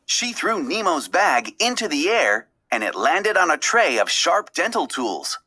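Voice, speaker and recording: Bright, animated woman reading stories aloud. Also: sits low, unhurried, slightly rough. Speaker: children's story reader. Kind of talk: expressive read-aloud narration.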